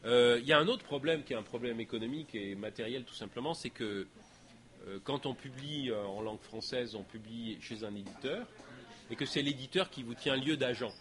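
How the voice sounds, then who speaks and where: Male lecture guest, native French speaker, middle pitch, halting and reflective Q&A delivery. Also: fairly bright tone. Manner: hesitant, many fillers and restarts. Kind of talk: lecture Q&A answers. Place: lecture hall.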